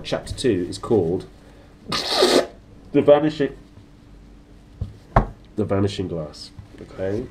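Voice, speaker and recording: Man, storybook narration, low pitch, soft dark tone, chatty playful host, faint noise. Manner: informal, playful, addressing listeners as a team. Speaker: reader, host of a read-aloud series. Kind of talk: lively storybook narration with informal asides.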